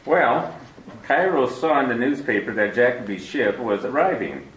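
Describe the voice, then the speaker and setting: An actor playing a genteel older crime boss in a 1940s-style radio play, pompous, courtly and chuckling. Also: male voice, mid-range voice, animated, normal speed, fairly bright tone, echoey room, distant mic, close to an everyday voice, poor audio. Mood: candid.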